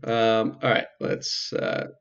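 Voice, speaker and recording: A man's voice: on the low side, level tone, slow pace, gravelly, a bit bright, clean tone. A man giving casual let's play commentary as the host of a video game playthrough. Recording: slightly muffled sound, music behind. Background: game music.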